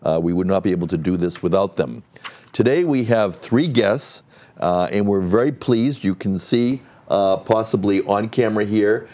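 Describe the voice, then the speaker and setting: Low-voiced man hosting a local talk show. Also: normal speed, dark tone. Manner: friendly, reads sponsor list with fillers. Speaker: guest host. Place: TV studio.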